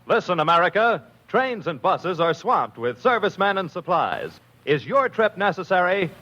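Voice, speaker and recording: A man's voice: sits high, sing-song, normal speed, sharp tone. A man giving an urgent, exhorting public-service appeal in 1940s radio announcer style. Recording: muffled old radio recording.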